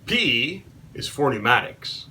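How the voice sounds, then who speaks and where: A man's voice: medium pitch, big pitch swings, slow pace, pushed and bright, slight background noise. A man in his forties reading a children's book aloud. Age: 40s. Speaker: robot combat team member.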